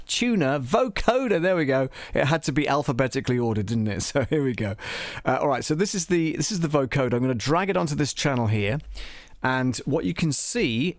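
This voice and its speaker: Male live-stream tutorial host, mid-pitched, casual and halting, thinking aloud, close dry sound. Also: no echo. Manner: halting, thinking aloud as he clicks through menus.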